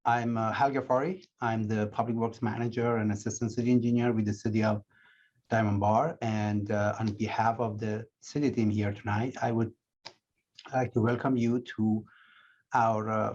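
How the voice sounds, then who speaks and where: A man with a fairly low voice gives a formal welcome at a public meeting, pausing often with uhs. His voice comes through dull, lo-fi call audio. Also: a little mellow. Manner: halting, many uh fillers. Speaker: city public works manager. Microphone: video call or phone.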